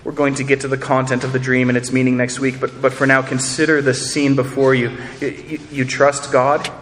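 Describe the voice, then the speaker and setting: A man's voice: mid-range voice, little pitch movement, a little fast, a bit bright, big-room sound, slight background noise. A man preaching a sermon, earnest, asking rhetorical questions and repeating words as he builds each point. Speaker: pastor.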